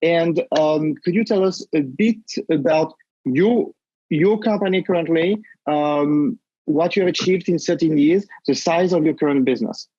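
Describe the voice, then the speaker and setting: Halting male podcast host, dull dry sound. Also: fairly high pitch, warm tone, slightly rough, dry recording. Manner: halting, many fillers and restarts. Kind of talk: interview questions.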